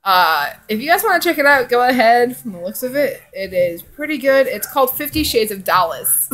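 A woman's voice: on the high side, sing-song, normal speed, fairly bright tone. A young woman vlogger chatting casually and reading fan fiction in a rambling, giggly way. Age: young adult. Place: living room indoors.

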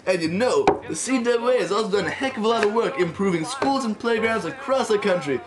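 Young man playing a high-voiced jovial radio co-host. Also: lively intonation, brisk, very noisy. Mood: jovial, flippant. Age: teens. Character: jovial, flippant 1930s radio co-host named Willie. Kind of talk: mock 1930s radio program, scripted. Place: small wood-panelled room indoors. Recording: music playing over the voice.

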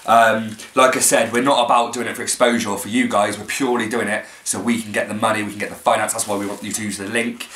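A young British man on a living-room sofa, doing a mock-serious sales pitch with crude jokes for a comedy vlog. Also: level tone, fast talker, hardly any pauses, fairly bright tone. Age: young adult. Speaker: vlogger. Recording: roomy with faint noise.

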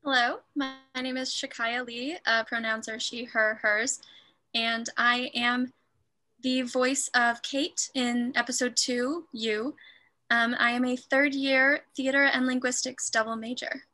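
A woman in her twenties introduces herself on an online panel. Her voice is fairly high and bright, with flat, even intonation. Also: measured pace. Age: 20s. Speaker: theater student. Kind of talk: self-introduction. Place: indoor room, video call.

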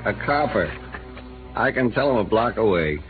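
A man's voice: on the low side, lively intonation, normal speed, music in the background, natural voice. An actor playing a drunk, rambling man in a 1950s radio drama. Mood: tipsy. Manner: slurring, rambling. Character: drunk, swaying middle-aged man. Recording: lo-fi old radio broadcast with hiss.

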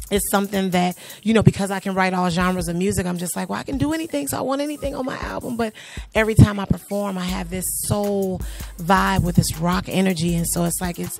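A middle-aged woman with a naturally raspy voice, a singer and youth arts instructor answering interview questions in a relaxed, chatty way with many fillers, recorded in a small studio on a handheld mic. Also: lowish voice. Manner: relaxed, chatty, trailing off with fillers, light laughs. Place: small studio in front of a logo backdrop. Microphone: handheld mic held by interviewer.

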